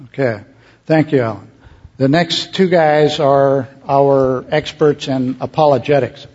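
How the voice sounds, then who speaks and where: A man's voice: mid-range voice, unhurried, darkish tone. A man hosting a live panel, introducing and questioning guests informally. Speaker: panel host. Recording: slightly dull, muffled live recording.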